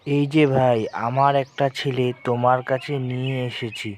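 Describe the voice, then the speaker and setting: Man as a plain-spoken honest crocodile dad in a cartoon, mid-pitched, earnest. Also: level tone, normal speed, close to an everyday voice. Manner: plain, earnest, calling out loudly. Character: simple honest father crocodile.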